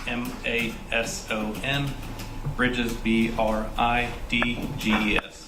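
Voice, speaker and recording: Quiet, flat-voiced young man testifying. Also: medium pitch, takes it slowly. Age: 20s. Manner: brief, quiet answers. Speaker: police officer witness. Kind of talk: courtroom testimony. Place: courtroom. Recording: echoey courtroom, distant mic, noisy.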